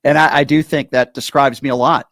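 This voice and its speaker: A man's voice: mid-range voice, fairly even intonation, brisk, fairly bright tone, no room sound, studio quality. A man from Connecticut hosting an interview, effusive and affirming toward his guest. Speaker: podcast host. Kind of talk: interview conversation.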